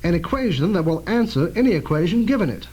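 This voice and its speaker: Radio drama inventor, male actor: proud, cautious scientist, high-pitched and lively, emphatic explanations. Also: quick pace, breathy edge, full character voice. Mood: explanatory, proud.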